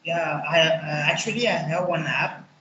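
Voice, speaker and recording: A young man from Tamil Nadu with a Tamil accent, a native Tamil speaker practicing English in a halting, hesitant way over a call. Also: fairly high pitch, sharp tone, echoey room, muffled recording, slight background noise. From Tamil Nadu, India. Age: 20s. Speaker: English learner. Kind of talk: casual conversation practice.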